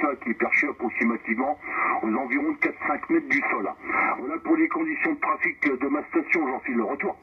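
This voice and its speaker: Homme bavard sur radio au son téléphonique. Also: male voice, medium pitch. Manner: chatty, repeats his phrases. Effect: radio transmission. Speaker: amateur radio operator. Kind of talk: casual radio contact chat. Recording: narrow phone-like radio band, noisy.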